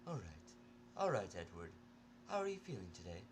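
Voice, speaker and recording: A man voicing a calm, clinical asylum doctor questioning an inmate, in an amateur story reading. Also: sits low, normal speed, slight background noise. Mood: formal.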